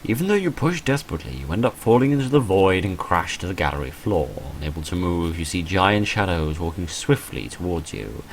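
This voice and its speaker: A deep-voiced man narrates a visual novel playthrough. He reads the text like a dramatic story and drops in dry, offhand commentary. There is some faint noise behind his voice. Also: very animated, brisk, hardly any pauses, poor audio. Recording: noticeable background noise.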